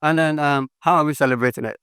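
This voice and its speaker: Man interviewer, smooth dry voice. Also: a little high, fast, a bit bright, dry recording. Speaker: interviewer, host. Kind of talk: casual interview.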